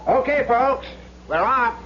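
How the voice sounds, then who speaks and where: A man's voice: very high-pitched, lively intonation, slow, full character voice. An actor playing a folksy cable car operator with a showman's patter, in old-time radio drama style. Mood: showy, jovial. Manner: showy tour-guide patter. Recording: noisy, dull old radio recording.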